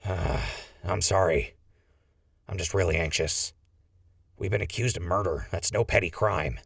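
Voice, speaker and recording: A man playing a wry, scheming ghoul who leads a crew, smooth-talking and gravelly, in a post-apocalyptic audio drama. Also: deep voice, a little slow, no echo, clean studio sound, heavily acted. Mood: coaxing.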